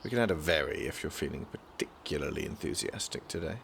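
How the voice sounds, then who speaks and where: Man playing a deep, gravelly, deadpan young prince. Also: fast, a little hiss or hum, heavily acted. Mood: sardonic, bored. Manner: deadpan, formal, witty. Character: dry, sardonic young prince, reluctant university student. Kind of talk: audio drama acting.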